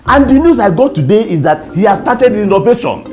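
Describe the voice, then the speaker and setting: A gleeful Nigerian landlady , acted by a woman, with a mid-pitched voice that swings wildly up and down. The praise is effusive and teasing, in comedy drama style, with music behind. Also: very animated, a little fast, a little mellow, natural voice.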